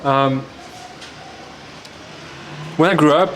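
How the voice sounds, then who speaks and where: A man's voice: on the high side, drawn-out words, fairly bright tone, slight background noise, low-quality recording. Casual man hosting a podcast. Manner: loose and searching, with many "like" fillers. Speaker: podcast host. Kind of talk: casual conversation, asking questions. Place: indoors with friends over drinks.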